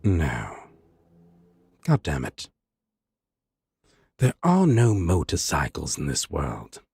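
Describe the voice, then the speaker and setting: A man's voice: rumbling low, wildly swooping pitch, takes it slowly, darkish tone, dry recording. A man, a voice actor, talking casually off script between takes, muttering, breaking off and swearing freely. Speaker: audio roleplay voice actor. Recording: clearly noisy background, passing vehicles.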